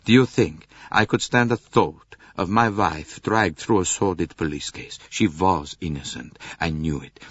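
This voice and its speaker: A man's voice: low voice, no echo, highs cut off. A man acting a proud, impassioned aristocratic count. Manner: earnest, passionate, emphatic. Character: proud, hot-tempered aristocratic count, protective husband.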